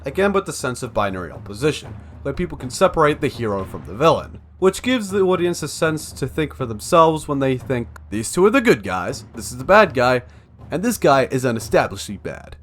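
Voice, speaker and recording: A young man narrates a scripted video essay. His medium-pitched voice moves up and down in a lively way, with music playing behind him. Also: fairly quick, a little hiss or hum.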